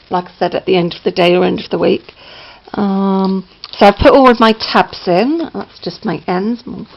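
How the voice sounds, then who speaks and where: Chatty woman with a croaky, gravelly voice. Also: medium pitch, lo-fi sound. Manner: chatty, rambling, many ums and self-corrections. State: slightly croaky voice. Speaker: crafting vlogger. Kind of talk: casual show-and-tell. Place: indoors at home, downstairs near a patio.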